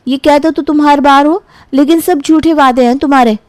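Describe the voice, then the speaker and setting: A woman's voice: high-pitched, steady tone, normal speed, a little mellow, no room sound, voice pushed up, lightly acted. A woman voicing a sulky, suspicious young girlfriend in a storybook tale, petulant and accusing. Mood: hurt, annoyed. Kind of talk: animated storybook dialogue.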